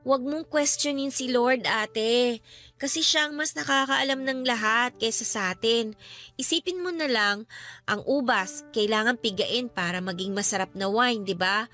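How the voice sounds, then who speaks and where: An actress playing a grieving young student, an earnest and faithful younger sister who speaks consolingly and with gentle insistence in a radio-style drama. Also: female voice, a little high, moderate pace. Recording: music bed behind voice.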